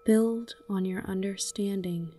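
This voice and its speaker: A woman leading a guided meditation in a calm, gentle, unhurried way, speaking in short phrases. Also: medium pitch, far from the mic, a little hiss or hum. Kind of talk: guided meditation, slow soft narration. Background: humming singing-bowl tones.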